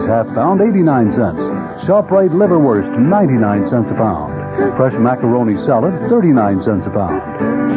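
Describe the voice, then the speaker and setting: A man working as a commercial announcer, reading a scripted radio ad in 1970s style. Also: mid-range voice. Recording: muffled old radio broadcast. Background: music.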